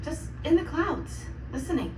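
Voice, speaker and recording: Casting: actress as an earnest, restless young game heroine, high register, slightly noisy, far from mic. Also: female voice, normal speed, close to an everyday voice. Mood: restless, pleading. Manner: impassioned, youthful, frustrated. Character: earnest, restless, defiant superpowered young woman. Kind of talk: video game cutscene acting. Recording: roomy, distant, faint background noise.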